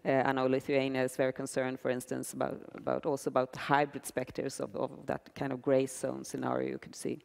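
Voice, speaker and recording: A woman on a conference panel answering in a measured, thoughtful way, pausing with small fillers. Her voice is smooth and resonant in a mid register. It echoes with a long tail in a large hall. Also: a bass voice, completely flat delivery, a little mellow. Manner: measured, with frequent fillers and restarts.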